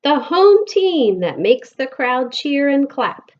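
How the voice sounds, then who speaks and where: American female storybook reader, very unhurried, expressive phrasing, slightly muffled lo-fi recording. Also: sits high. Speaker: elementary school teacher reading aloud. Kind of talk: picture-book read-aloud for children.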